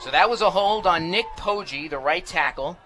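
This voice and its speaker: A very bright, forceful American man delivers live football play-by-play on the radio. His voice sits a little high and he describes each play in clipped phrases, over faint background noise. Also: lively intonation, normal speed. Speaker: play-by-play sports announcer.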